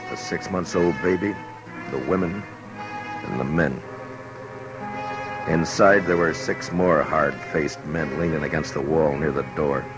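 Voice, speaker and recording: Frontier marshal, very deep voice, terse, controlled, authoritative, vintage radio western. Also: male voice, low-quality recording. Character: steady, grave US Marshal of the Old West. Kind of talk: 1950s radio western acting, first-person narration and dialogue. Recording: old radio drama recording.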